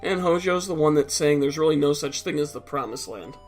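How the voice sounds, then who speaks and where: A man's voice: on the high side, a little fast, soft background music. Stuffy-nosed man explaining a game story. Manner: relaxed, explanatory, blunt and a little profane. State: stuffed-up nose. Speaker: let's play commentator. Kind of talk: casual game commentary.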